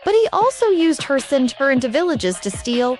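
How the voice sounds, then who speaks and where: A woman narrates a scripted storybook tale. Her voice sits a bit high and moves up and down in a lively way, with music playing behind her. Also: moderate pace.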